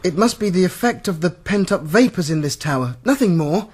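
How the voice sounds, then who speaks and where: A gallant young British knight in a gothic radio play. His voice is high and swoops with feeling, earnest and florid, over faint noise. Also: expressive, brisk. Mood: ardent, earnest. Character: ardent, chivalrous young male hero of gothic romance. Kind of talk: radio drama acting.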